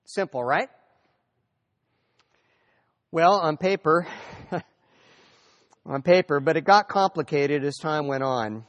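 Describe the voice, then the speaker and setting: A middle-aged man with a slightly high voice lectures an audience, telling history as a story. He talks conversationally, with fillers and restarts as he goes. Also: slow, pauses often, fairly bright tone, dull audio.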